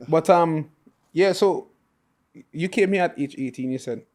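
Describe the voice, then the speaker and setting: A man hosting a podcast, asking friendly questions and chiming in with quick agreement. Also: a little high, darkish tone. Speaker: podcast host. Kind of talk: casual interview chat.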